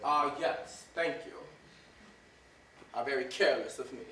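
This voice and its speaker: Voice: medium pitch, measured pace, sharp tone. A stage actor playing a fussy hypochondriac master of the house, whining and complaining theatrically in a live play. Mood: grumpy. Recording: echoey theater from far off, noisy.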